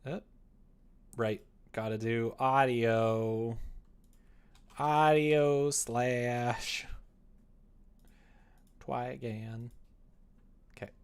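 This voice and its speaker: A young adult man chatting casually on a livestream as he works, muttering to himself and then growing animated. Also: medium pitch, slow pace, pauses often, clear, resonant tone. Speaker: livestreamer developing a visual novel. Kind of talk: casual livestream chat while working.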